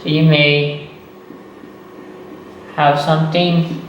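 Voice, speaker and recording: A man, teaching beginner English slowly and patiently, repeating phrases and checking in with "okay" and "right". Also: a little high, level tone, a bit bright, lo-fi sound. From Texas. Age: 30s. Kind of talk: slow, deliberate beginner English lesson. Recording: echoey room, voice far from the mic, faint noise.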